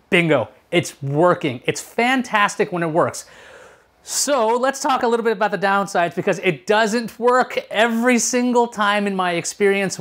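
A man's voice: high voice, fairly bright tone. A man in his thirties hosting a casual tech review to camera, chatty and explanatory, often asking rhetorical questions. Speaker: tech show host. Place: small furnished room at home, indoors.

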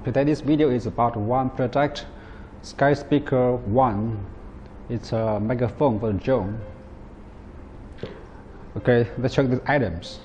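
A man in his thirties, a non-native English speaker, giving a plain, halting, step-by-step product demonstration. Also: mid-range voice, a little mellow, highs cut off, a little hiss or hum. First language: not English. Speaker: product presenter. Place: indoor office at a table.

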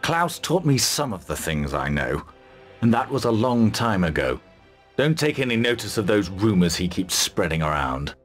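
An actor playing a resolute, grimly determined mercenary army commander in a video game, measured and authoritative. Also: male voice, fairly low pitch, very animated, fairly bright tone. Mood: dry, brisk. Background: music.

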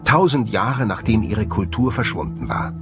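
Low-voiced male documentary narrator over music. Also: lively intonation, normal speed. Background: prominent background music.

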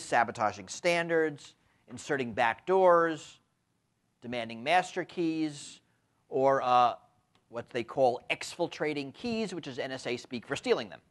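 A man in his fifties giving a university lecture, speaking emphatically and often tagging his points with a quick question, recorded on a lapel mic in a lecture room. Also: a little high. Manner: frequent tag questions, lively emphatic delivery. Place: lecture room with window blinds.

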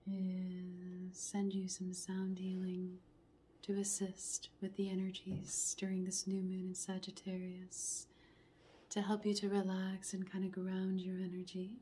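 A woman in her thirties speaking as an ASMR reiki healer, in a soothing, breathy soft voice that often drops toward a whisper, repeating gentle affirmations. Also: medium pitch, monotone, measured pace, a little hiss or hum. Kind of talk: slow ASMR guided relaxation, partly whispered and chanted. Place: small home room, indoors.

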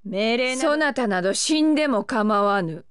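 A woman's voice: a little high, expressive, normal speed, smooth, no echo, studio quality, natural voice. A woman voicing a haughty, lovestruck young pirate empress in an anime video game, with imperious, archaic regal diction. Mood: disdainful, commanding.

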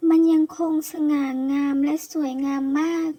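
A woman's voice: high-pitched, level tone, normal speed, muffled recording, close to an everyday voice. A woman voicing a kind, chatty young girl, an earnest childlike younger sister, in a comic read-aloud.

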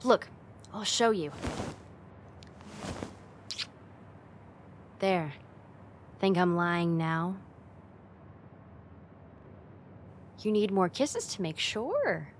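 Casting: actress as teasing young female roommate, ASMR roleplay, unhurried, mid-pitched, playful and affectionate, close-miked with some background noise. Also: very animated. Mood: coy, teasing. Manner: teasing, intimate, giggly, slow and coaxing.

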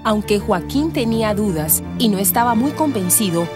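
A woman's voice: mid-range voice, animated, normal speed, over music, close to an everyday voice. A Colombian woman narrating a scripted radio soap opera as its storyteller.

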